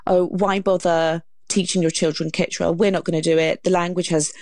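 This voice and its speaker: A woman author speaks as an interview guest. Her voice sits fairly low, and she explains things in an articulate way. The sound is close and dry. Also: flat delivery, a little fast, darkish tone, dry recording.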